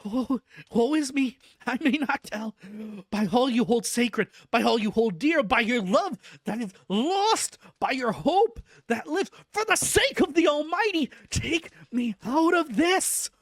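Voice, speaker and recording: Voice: sits high, expressive, measured pace, hardly any pauses, no room sound, studio quality, pitched above the performer's own voice, heavily acted. A reader voicing a frantic male asylum inmate, desperately begging a doctor to release him. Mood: frantic pleading. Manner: desperate, begging, voice cracking upward.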